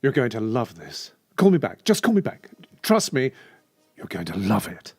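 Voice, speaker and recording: A man's voice: mid-range voice, wildly swooping pitch, moderate pace, darkish tone, husky, faint music behind, heavily acted. An actor playing a courteous, chatty male escort with a plummy British voice, droll and theatrical, in a comedy drama. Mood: courteous, eager. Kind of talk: comedy audio drama dialogue.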